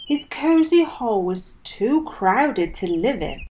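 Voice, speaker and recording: A woman reading a picture book aloud to children as an expressive storyteller, leaning deliberately on key words. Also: on the high side.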